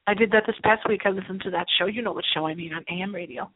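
A woman's voice: mid-range voice, rapid-fire, slightly rough. A woman hosting a paranormal radio show in a rambling, theatrical monologue, repeating phrases for emphasis. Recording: thin, narrow phone-line sound.

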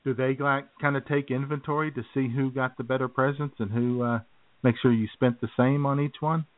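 A man's voice: medium pitch, steady tone, brisk. A man hosting a radio show in casual, conversational chat. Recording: narrow tinny phone-like sound.

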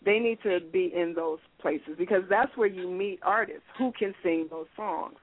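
A woman manager who is a guest on a radio show, giving measured, practical advice. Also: lowish voice. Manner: measured, practical, explaining step by step. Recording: tinny phone line.

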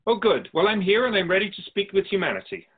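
Thin phone-like recording, actor as otherworldly soul keeper, male, lightly high voice, courteous, measured, radio drama. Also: big pitch swings, husky, full character voice. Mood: courteous, eager. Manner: measured, formal phrasing with gentle questions. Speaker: guest on a radio show. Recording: thin phone-like band-limited audio.

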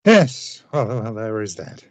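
An actor in a radio play playing an obsessive, idealistic scientist with a husky voice and an intense, grandiose, formal manner. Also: male voice, fairly low pitch, sing-song, slow pace, no room sound. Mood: guarded, polite. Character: obsessive, idealistic male scientist with a vengeful streak.

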